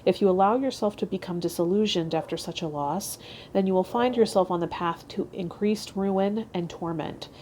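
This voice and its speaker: A woman's voice: mid-range voice, moderate pace, darkish tone, a little hiss or hum. A woman hosting a tarot vlog, reading aloud and talking to her viewers. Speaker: tarot channel host. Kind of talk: reading card meanings aloud and casual vlog talk.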